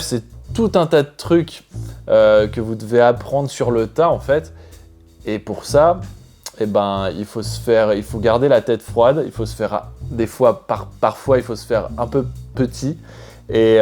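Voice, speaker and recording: A man's voice: medium pitch, warm tone. Chatty French-born man vlogging casually. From France. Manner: chatty, thinking aloud, loosely rambling. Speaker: expat vlogger. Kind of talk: casual explanatory vlog.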